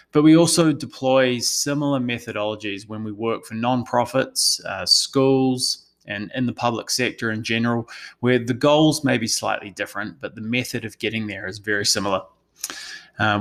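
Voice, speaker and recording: A man with a New Zealand accent giving a prepared webinar presentation as a consultant, in a measured, explanatory, professional manner. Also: on the low side, fairly bright tone. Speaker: analytics consultant presenting a session.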